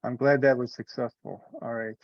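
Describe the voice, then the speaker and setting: A man's voice: mid-range voice, steady tone, moderate pace, gravelly, no echo. A man talking casually in an online meeting, explaining things in a hesitant way. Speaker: meeting participant leading discussion. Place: online conference call. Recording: muffled, band-limited conference call audio.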